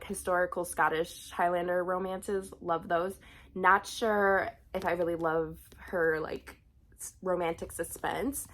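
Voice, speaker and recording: A woman's voice: lowish voice, a bit bright. A young woman with a cold, sounding sniffly as she chats casually about books, with frequent ums and restarts. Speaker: book vlogger. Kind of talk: casual book unhaul chat.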